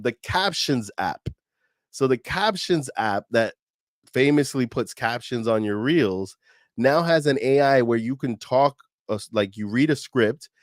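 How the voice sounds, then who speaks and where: A man's voice: mid-range voice, no room sound, clean studio sound. A man co-hosting a podcast, talking casually and animatedly with slang and fillers. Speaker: podcast co-host.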